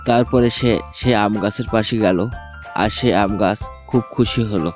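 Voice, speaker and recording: A man's voice: fairly low pitch, a little slow. A man telling a scripted children's fairy tale as the storyteller. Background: music.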